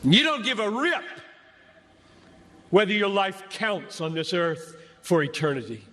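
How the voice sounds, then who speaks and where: A man preaching an impassioned sermon to a crowd as a pastor, speaking emphatically and deliberately with dramatic pauses between short phrases. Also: sits high, big pitch swings, fairly bright tone. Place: large gathering before a crowd. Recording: echoey large venue, long reverb.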